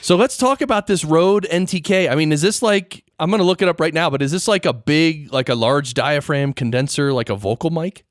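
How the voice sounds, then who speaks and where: A man hosting a casual interview, animated and curious, often restarting his sentences. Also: a little high, a little fast, hardly any pauses, dry recording, clean studio sound. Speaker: podcast host and interviewer.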